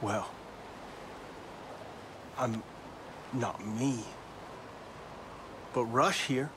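An actor playing an anxious, eager-to-please captain in a video game, nervous and placating. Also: male voice, mid-range voice, very slow. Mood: nervous, pleading. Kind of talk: video game drama acting. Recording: faint vehicle and ambient noise behind.

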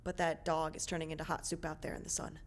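A woman playing a hot-tempered man struggling to stay calm in a comedy fan dub, volatile and emphatic. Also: sits low, quick pace, natural voice. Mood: strained restraint.

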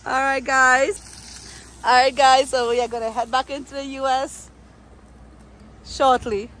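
A woman's voice: high-pitched, fairly even intonation, slow, pauses often, a bit bright. A playful woman with a Caribbean accent hosting a casual, teasing vlog from behind the camera, often giggling. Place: outdoors in a parking area. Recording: noisy outdoor ambience. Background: outdoor and vehicle noise.

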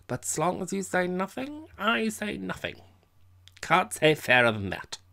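Audiobook drunk: raised pitch, solemn, indignant, insistent, slightly stumbling. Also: male voice, very animated, unhurried, creaky, bright, forceful tone, heavily acted. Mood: threatening, pompous. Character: drunken middle-aged man, solemn, indignant, pompous, comic. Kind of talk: audiobook character voice.

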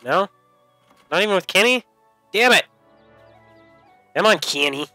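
A man's voice: sits high, expressive, a little slow, pushed and bright, faint music behind. A man giving casual, sweary gameplay commentary, mostly talking to himself as host. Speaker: let's play host.

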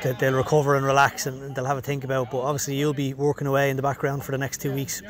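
A man, asking post-match questions as a club interviewer outdoors on a football pitch. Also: completely flat delivery, fast talker, crowd noise. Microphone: handheld recorder at arm's length.

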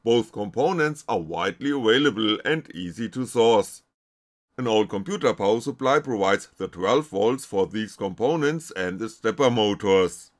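A man's voice: lowish voice, animated, moderate pace. A man reading scripted technical narration as a maker presenting his own project.

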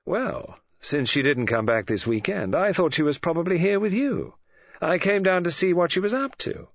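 A man's voice: on the high side, animated, normal speed, dry recording, highs cut off, voice pushed up. A man voicing a flippant, insolent young dandy with an airy, teasing drawl, in an audiobook. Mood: airy, amused.

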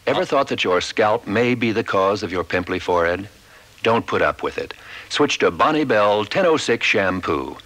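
A man's voice: on the low side, lively intonation, normal speed, a bit bright. A man reading a scripted 1970s radio commercial. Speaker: advertisement announcer.

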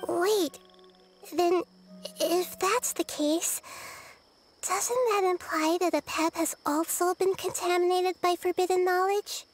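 A wise little girl deity from a video game. Her voice is extremely high and childlike, yet thoughtful and deliberate. Light music plays behind her. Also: fairly even intonation, poor audio. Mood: thoughtful, concerned.

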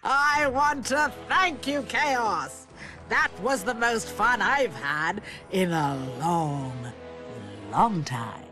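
Greedy regal villainess, animated game: condescending, unhurried, expressive melodic delivery. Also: female voice, mid-range voice, over music. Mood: mocking. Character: haughty, greedy golden villain queen.

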